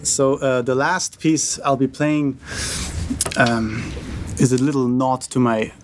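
A man from Austria, a cellist, introducing his next piece to a concert audience in a relaxed, hesitant way with pauses and fillers. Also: medium pitch, over loud music. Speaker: cellist performer.

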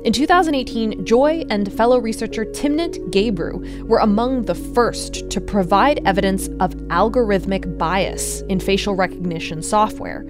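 A woman's voice: mid-range voice, moderate pace, hardly any pauses, over music. An American woman hosting a science podcast in a conversational, explanatory way with emphatic phrasing. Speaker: podcast host and reporter.

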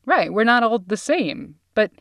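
A woman's voice: fairly high pitch, very animated, measured pace, dry recording, studio quality. A woman podcast host chatting casually, reacting, recapping and asking questions. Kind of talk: casual conversational co-hosting.

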